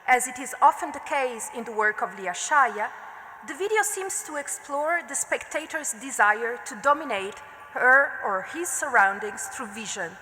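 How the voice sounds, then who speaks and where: A woman's voice: fairly high pitch, expressive, pushed and bright. Italian-accented woman lecturing in a reverberant hall. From Italy. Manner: measured, deliberate delivery with occasional slips and fillers. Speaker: art history researcher giving a conference lecture. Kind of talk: reading a prepared academic lecture.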